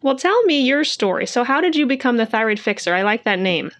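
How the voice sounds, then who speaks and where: A woman's voice: on the high side, big pitch swings, brisk. A woman hosting a podcast, sounding a bit congested from a cold, reading an upbeat scripted intro before chatting with her guest. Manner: upbeat, promotional. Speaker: podcast host. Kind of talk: scripted intro, then casual interview.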